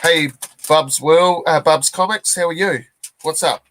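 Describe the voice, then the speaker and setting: A man in his forties with a New Zealand accent, chatting casually on a live stream as a comic collector, rambling and relaxed with lots of fillers and restarts. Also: on the high side, unhurried, a little hiss or hum.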